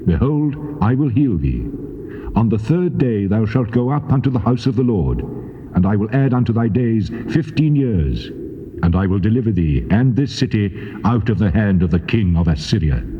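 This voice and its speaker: A man playing God in dramatized scripture, a solemn and commanding divine voice speaking deliberately in heavy reverb. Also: fairly low pitch, expressive. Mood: solemn, merciful. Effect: long cathedral-like reverb. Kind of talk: dramatized scripture, theatrical declamation. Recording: muffled tape with long reverb.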